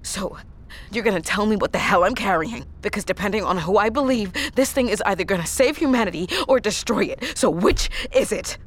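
An actress playing a battered, sick woman truck driver, talking in a weary, halting, blunt way in a naturalistic audio drama. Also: mid-range voice, natural voice. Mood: weary, defiant. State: sick, worn out.

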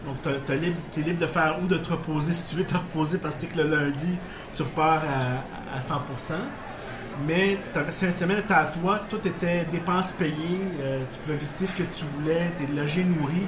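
Man in an echoey bar, Quebec French. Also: on the high side. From Portuguese background, living in Quebec. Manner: rambling, many restarts, chuckling. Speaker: guest. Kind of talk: casual storytelling interview. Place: bar with live audience. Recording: echoey bar with background noise.

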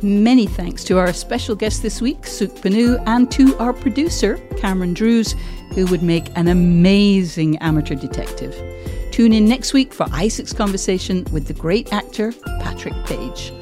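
A middle-aged woman hosting a podcast, speaking conversationally in thoughtful, articulate, measured phrases. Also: mid-range voice, warm tone, soft background music. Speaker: podcast host.

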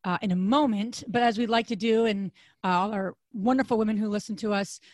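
Hoarse, raspy woman podcast host. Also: steady tone, a little fast, a little mellow, no room sound, studio quality. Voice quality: deep, raspy voice. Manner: chatty, rambling with fillers and restarts. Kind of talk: casual podcast chat.

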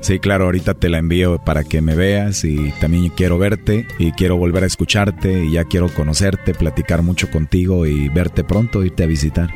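A man's voice: deep voice, steady tone, moderate pace, hardly any pauses, warm tone, faint music behind. A man with a Mexican accent, making a teasing, flirtatious prank phone call on a radio show.

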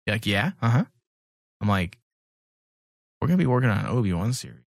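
Male podcast host, highly expressive, sweary and mocking, casual banter, dry close sound. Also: a little fast, gravelly, no echo.

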